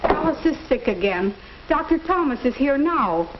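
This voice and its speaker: A woman's voice: high-pitched, big pitch swings, moderate pace. An actress playing a worried middle-aged mother in an old educational film. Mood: anxious. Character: worried, soft-spoken mother of grown and teenage children. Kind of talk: mid-century educational film acting. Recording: dull, noisy old film soundtrack.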